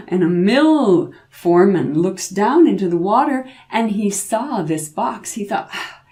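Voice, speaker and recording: A woman's voice: mid-range voice, sing-song, slow, warm tone. A woman storyteller narrating a folk tale dramatically, drawing out words and repeating phrases for effect.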